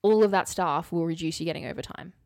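Female podcast co-host, slightly low register, casual and playful with a mock-serious streak. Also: fast, a little mellow. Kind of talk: casual comedic chat, working through a formula aloud.

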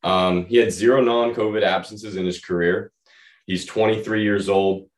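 A young man with a slightly hoarse voice, co-hosting a podcast with casual fantasy football analysis. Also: on the low side, steady tone, normal speed. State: slightly hoarse from feeling unwell. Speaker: podcast co-host. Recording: roomy echo, distant from mic.

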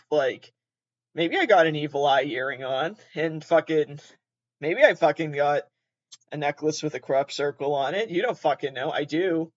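A man's voice: fairly high pitch, no room sound, muffled recording. A man hosting a podcast in a very nasal voice, rambling casually, swearing freely and laughing at himself. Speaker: podcast host.

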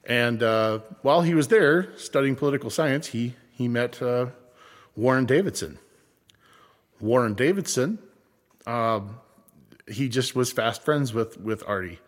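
A man from California who later lived in Minnesota, chatting casually and unscripted, halting and correcting himself as he rambles. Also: medium pitch. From San Francisco Bay Area, then Minnesota. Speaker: co-creator and actor of a fictional small-town show. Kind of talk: casual unscripted backstory discussion. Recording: echoey room with long reverb.

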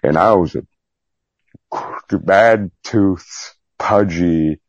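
A man with a strikingly deep, husky voice talks candidly as a guest in a casual interview. He rambles in a self-deprecating, lightly profane way. The recording is somewhat dull and muffled. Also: very slow, no room sound. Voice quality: low, gravelly-husky voice. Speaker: podcast guest, Navy veteran.

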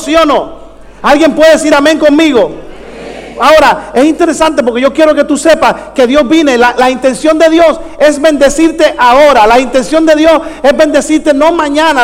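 A man preaching a sermon to a congregation in an exhorting way, repeating phrases and prompting listeners with rhetorical questions. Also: extremely high pitch. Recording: echoey hall with long reverb.

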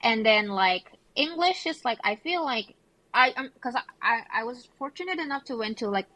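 A woman's voice: a little high, expressive, normal speed, bright, forceful tone, no room sound, rough recording. Young woman, from Indonesia, podcast guest, casual rambling talk, dry recording. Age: young adult. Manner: chatty, heavy use of "like" fillers. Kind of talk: casual conversational interview.

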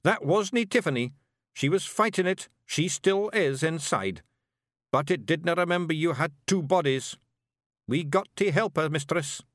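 Tiny male fairy warrior, Scottish accent, brash, loud, high-pitched, excitable, storybook character. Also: a little slow, dry recording, clean studio sound. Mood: insistent, reassuring. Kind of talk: audiobook character voice.

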